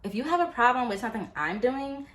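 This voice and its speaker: Animated woman vlogging in an echoey room. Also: on the high side, quick pace. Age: late 20s. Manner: animated, chatty, many fillers. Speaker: YouTuber. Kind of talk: casual motivational vlog. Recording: echoey room, faint ambience.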